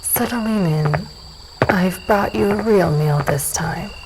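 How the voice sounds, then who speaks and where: Casting: actress as dusk elf warrior woman, lowish voice, unhurried and sure of herself, audio drama over ambient noise. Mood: composed, hospitable. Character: self-assured dusk elf warrior woman, hospitable, probing. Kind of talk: fantasy audio drama dialogue.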